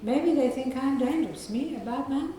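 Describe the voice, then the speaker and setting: A woman with a soft, croaky voice and a mellow, dark tone reads from her own novel, measured and unhurried. She speaks in a very echoey library room with faint background noise. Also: high-pitched. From England. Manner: measured reading with clearing of the throat. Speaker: author reading her novel. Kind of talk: reading aloud from a novel at a library event.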